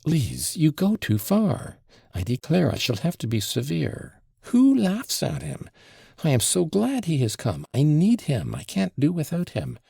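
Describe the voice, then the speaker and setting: A man reading aloud and voicing an excitable, fretful middle-aged mother who gushes in a high, swooping voice. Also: unhurried, vocal fry, husky, no room sound, studio quality, full character voice. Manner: gushing, breathless, high and swooping. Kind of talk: audiobook reading of a classic novel.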